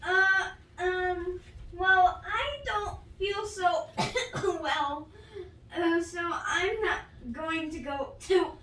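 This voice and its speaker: A woman's voice: very high voice, drawn-out words, fairly bright tone, full character voice. A woman voicing a hesitant, awkward female puppet friend in an amateur puppet show. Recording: roomy, noisy, distant microphone.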